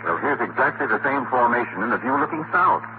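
An actor playing a quick-witted, slangy, insistent newspaper photographer sleuth in a 1940s radio drama. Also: male voice, mid-range voice, huge pitch swings, creaky. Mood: excited, insistent. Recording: scratchy, muffled, noisy 1940s radio recording.

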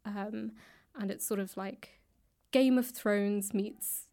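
A woman with a southern British accent chatting casually as an interview guest, tentative and pausing mid-thought. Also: medium pitch, steady tone, dark tone. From London, England. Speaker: interview guest, author and actor. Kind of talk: casual chat. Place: indoors, in a library.

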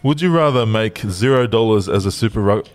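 A man's voice: lowish voice, brisk. A man co-hosting a casual podcast, reading listener questions aloud and laughing often. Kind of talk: casual banter.

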